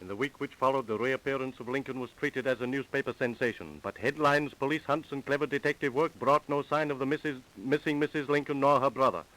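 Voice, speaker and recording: A man's voice: medium pitch, a bit bright, lightly acted, poor audio. An American man acting as the solemn, dramatic narrator of a 1930s radio crime drama, reading from a script. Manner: formal, dramatic. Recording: vintage 1930s radio recording.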